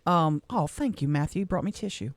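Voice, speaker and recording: A woman's voice: deep voice, animated, fairly quick, dry recording, studio quality. Heartfelt middle-aged woman on radio. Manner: heartfelt, soft-spoken, emotional. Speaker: radio co-host. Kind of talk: reading a personal letter on air. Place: radio studio.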